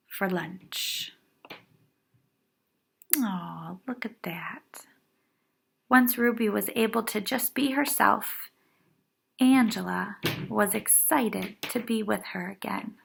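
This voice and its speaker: A woman reading a picture book aloud to young children, expressive and dramatic, with little asides. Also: mid-range voice, slow, creaky, long pauses, a little hiss or hum. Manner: animated, dramatic emphasis for young listeners.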